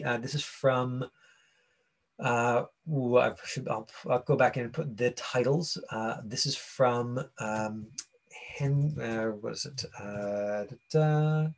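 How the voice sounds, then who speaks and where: Male acting coach, mid-range husky voice, informal and halting, explains off the cuff. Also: mid-range voice. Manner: halting, rambling explanations full of tangents. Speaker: acting coach teaching a class.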